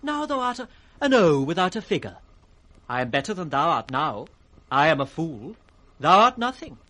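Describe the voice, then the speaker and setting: An actor playing a witty, impudent court fool in radio Shakespeare, with a sing-song, riddling delivery. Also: male voice, on the high side, sing-song, moderate pace, sharp tone. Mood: teasing. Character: witty, mocking court fool, teasing the king. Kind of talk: 1960s British radio Shakespeare acting, with sung rhymes. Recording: vintage 1960s radio drama recording.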